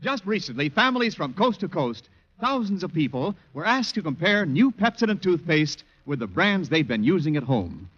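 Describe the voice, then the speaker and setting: Animated high-pitched vintage radio announcer. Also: male voice, moderate pace, slightly rough. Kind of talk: 1940s radio announcing and ad read. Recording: noisy muffled 1940s radio recording.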